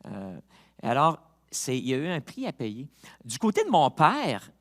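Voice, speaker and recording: A man with a Québécois accent, a pastor preaching a sermon who moves from quiet reflection to loud, rising appeals, with chatty personal asides. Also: medium pitch, wildly swooping pitch, measured pace. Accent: Québécois French. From Quebec. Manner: chatty asides with fillers and laughs.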